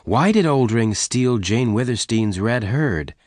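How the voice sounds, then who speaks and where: A man voicing a strong young cowboy in an audiobook, gentle and devoted, speaking in a measured, earnest way. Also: medium pitch, expressive, no echo, studio quality, voice pushed up, close to an everyday voice. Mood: gently curious.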